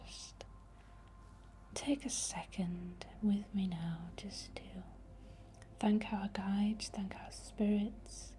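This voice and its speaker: A woman whispering softly and soothingly in an unhurried ASMR guided meditation, leading a healing session. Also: mid-range voice, steady tone.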